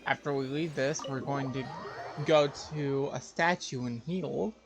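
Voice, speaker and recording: A man's voice: medium pitch, a little slow. A man giving casual live gameplay commentary, thinking aloud in a distracted way. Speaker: game streamer. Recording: clear background noise, game ambience.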